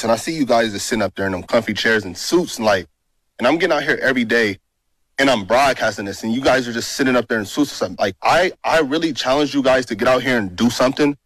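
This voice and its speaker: A man's voice: medium pitch, a bit bright, no echo, rough recording. A young man speaking at a public meeting into a podium mic, frustrated and rambling with lots of fillers. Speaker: resident speaking at a town hall. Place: indoor town hall meeting.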